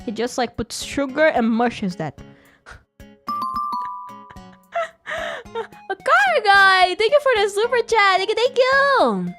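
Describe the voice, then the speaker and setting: A woman's voice: very high-pitched, huge pitch swings, takes it slowly, pauses often, bright, forceful tone. A young woman, chatting casually and playfully on a live stream, giggling often and rambling. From Brazil. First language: Portuguese. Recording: faint music behind.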